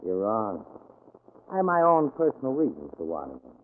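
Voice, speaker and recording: A smooth, mock-courteous American crime boss. His lowish voice swings expressively while it threatens. The old radio drama recording is thin and tinny. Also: wildly swooping pitch, moderate pace, slight background noise. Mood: cool menace. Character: suave, menacing gangster boss, coolly polite.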